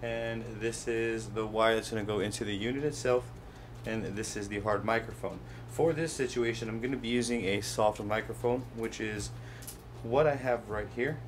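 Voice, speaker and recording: A man's voice: fairly low pitch, normal speed. Man giving a tutorial over background noise. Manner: step-by-step, pointing things out as he handles them. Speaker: host of a how-to product video. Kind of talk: casual hands-on tutorial narration.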